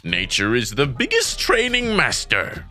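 A man's voice: mid-range voice, huge pitch swings, pushed and bright, exaggerated voice. A man playing an eccentric, playful old hermit master who speaks like a sage in an English-dubbed manga cartoon. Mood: playfully sage. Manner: sagely, slow, playful. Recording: music behind the voice.

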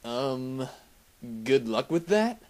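A man's voice: medium pitch, sing-song, very slow. A man playing a cocky, wisecracking young demon hunter in a sarcastic parody game dub. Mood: deadpan. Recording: game music under the voice.

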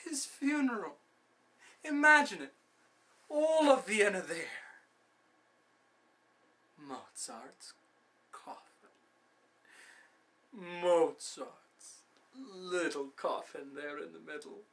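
A man's voice: a little high, expressive, sharp tone, voice pushed up, heavily acted. A man performing a film monologue as a bitter, envious composer, scheming and obsessed, with theatrical, grandiose delivery. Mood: grandiose relish. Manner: theatrical, gloating, drawn-out.

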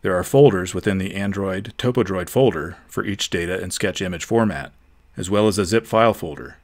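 A man giving a steady, methodical, scripted narration for a software tutorial. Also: deep voice, fairly quick.

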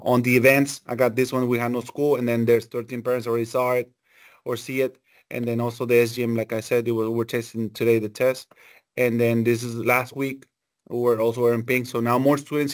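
A middle-aged man giving an informal software walkthrough as a teacher on a video call, repeating himself in halting, non-native English phrasing. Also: mid-range voice. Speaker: elementary school teacher giving a training session.

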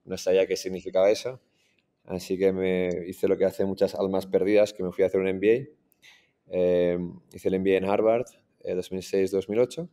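A man in his forties with a Madrid Spanish accent, telling his story as an interview guest on a video call, relaxed and full of restarts. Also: sits low, normal speed. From Iran, raised in Madrid. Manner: relaxed, many restarts and fillers. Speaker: startup founder guest. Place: in front of a green screen, indoors.

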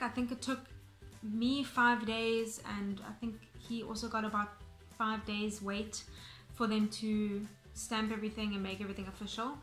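Casual South African woman in her twenties, crisp bright voice, talking to camera in an echoey room. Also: mid-range voice. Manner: chatty, explanatory, frequent ums and restarts. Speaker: vlogger. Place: bright room indoors, seated on sofa.

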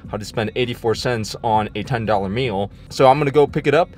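A young man in his twenties, for whom English is not his first language, talking casually to camera in a vlog, excitable and slangy, often saying "dude" and "freaking". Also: mid-range voice, fast, slight background noise. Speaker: vlogger. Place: car, then kitchen, then living room, indoors.

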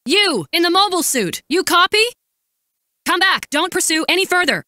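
An actress playing a composed, dutiful woman military supply officer in a video game, giving crisp, formal, commanding briefings and callouts. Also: very high-pitched, lively intonation, moderate pace, sharp tone, exaggerated voice. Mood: urgent.

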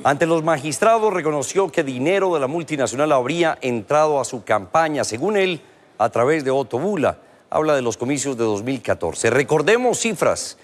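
A man's voice: fairly high pitch, lively intonation, normal speed. A male news anchor presenting a scripted story in a television studio.

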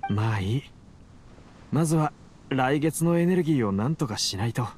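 Anime game researcher male actor: mid-pitched, driven yet tired, tender, expressive melody. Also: normal speed, slight background noise, lightly acted. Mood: wistful. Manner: earnest, talking tenderly as if to a companion. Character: male researcher, driven but weary, fond of his machine. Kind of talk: anime game voice acting, monologue to a machine.

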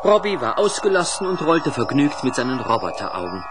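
Male narrator for children's audio drama: vivid, animated delivery at medium pitch. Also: brisk, loud music behind the voice. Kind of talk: expressive storytelling narration for a children's radio play.

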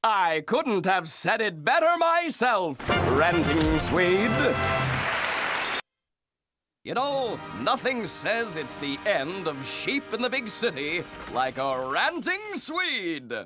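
Cartoon: a slow, high, lilting announcer over loud music. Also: male voice, big pitch swings, heavily acted. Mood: announcing. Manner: mock-grand, drawn-out announcing. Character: cartoon announcer, male adult, mock-grand. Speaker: show announcer.

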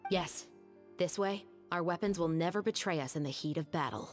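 An actress voicing a cold, dignified female musketeer who gives calm, firm instructions. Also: on the low side, normal speed, soft background music, natural voice. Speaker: weapons instructor in a game cutscene.

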